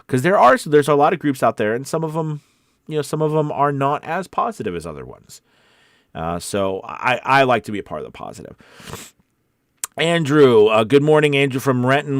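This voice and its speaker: An American man with an average-pitched voice talks like a friendly coach on a livestream. He is informal and encouraging, circling back over phrases to stress them. The sound is close and dry, with very little room on it. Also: no echo. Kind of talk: casual livestream chat, reading and answering viewer comments.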